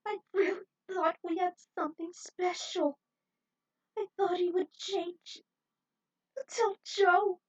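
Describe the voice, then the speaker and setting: A woman's voice: very high voice, steady tone, slow pace, long pauses, far from the mic, pitched above the performer's own voice. A woman voicing a heartbroken, guilt-ridden young female adventurer, despairing and wailing, in a story reading. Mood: distraught. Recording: dull, muffled lo-fi audio.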